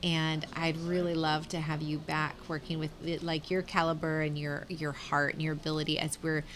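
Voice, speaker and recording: A woman, founder of a youth culinary program, telling stories in a casual interview and quoting herself in lively asides. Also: deep voice, normal speed, slight background noise.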